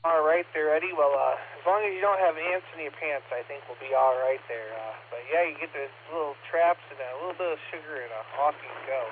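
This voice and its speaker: A man with a somewhat higher voice handles net control on a ham radio net. His talk is folksy and easygoing, sprinkled with fillers. The audio is narrow and phone-like, with a faint hiss. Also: fairly quick, hardly any pauses. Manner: folksy, friendly, frequent "there" and "uh". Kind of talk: casual on-air net hosting. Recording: lo-fi radio transmission, faint static.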